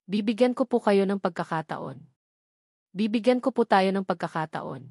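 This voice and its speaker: A woman's voice: mid-range voice, expressive, normal speed, vocal fry, darkish tone, breathy edge, no echo, clean studio sound. A woman voicing a proud, dignified young man who speaks earnestly and respectfully in an audiobook melodrama. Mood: accepting.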